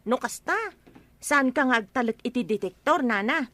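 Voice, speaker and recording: A woman's voice: on the high side, normal speed, fairly bright tone, close to an everyday voice. A woman with an Ilocano Filipino accent playing a sensible, inquisitive young daughter in a radio comedy drama. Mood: questioning.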